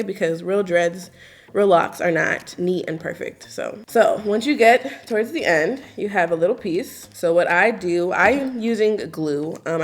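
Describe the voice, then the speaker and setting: A woman in her twenties giving a casual, chatty hair tutorial, her voice a little raspy from just waking up, with lots of filler words. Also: lowish voice. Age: 20s. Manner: relaxed, chatty, lots of filler likes and ums. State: slightly raspy morning voice.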